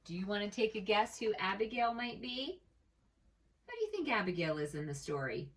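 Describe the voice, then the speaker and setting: A woman telling a children's story in an expressive, gentle manner, as a read-aloud for preschoolers. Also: medium pitch, a little fast, recorded from a distance. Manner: expressive, gentle, asks the listener questions.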